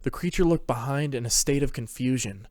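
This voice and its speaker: A man's voice: medium pitch, brisk, darkish tone, no echo. A man reading scripted dramatic narration as the storyteller of a fantasy tale.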